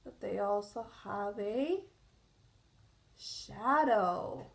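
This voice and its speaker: A woman's voice: medium pitch, animated, drawn-out words, vocal fry. A woman reading a picture book aloud to young children, stopping to explain and ask questions. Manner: explanatory, asks listeners questions. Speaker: children's storybook reader.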